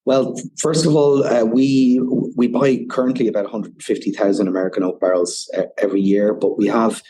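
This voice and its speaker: A man's voice: on the low side, fairly even intonation, fairly quick, mellow, rough recording. A man with an Irish accent, a whiskey ambassador guest on a podcast, explaining at length and often saying "you know". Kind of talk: casual podcast interview.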